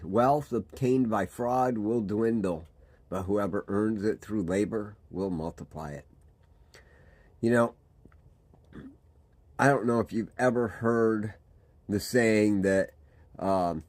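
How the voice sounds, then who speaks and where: A man, a pastor giving a reflective devotional talk with pauses and restarts. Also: lowish voice, darkish tone. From Michigan, USA. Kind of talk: devotional sermon.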